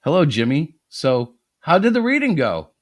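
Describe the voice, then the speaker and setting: Man playing sweary hotshot writer, easily outraged, very animated pitch, dry close sound, audio drama. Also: moderate pace, dry recording. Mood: confused. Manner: ranting, sweary, indignant. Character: defensive, foul-mouthed hotshot male screenwriter, easily outraged. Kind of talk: dramatic reading of a story.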